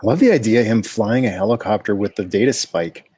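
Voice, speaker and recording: A man's voice: on the low side, fast talker, warm tone, breathy edge, dry recording, muffled recording. A man giving casual reaction commentary as he watches along.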